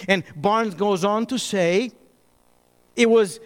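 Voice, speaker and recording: A pastor preaching a sermon, emphatic, moving between loud bursts and hushed asides. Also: male voice, sits high, slow, smooth. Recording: reverberant hall.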